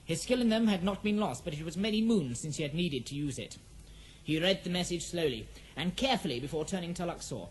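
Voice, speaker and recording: A man narrating a scripted fantasy audio drama in a theatrical, measured storytelling manner. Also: on the high side, expressive, a little hiss or hum, low-quality recording.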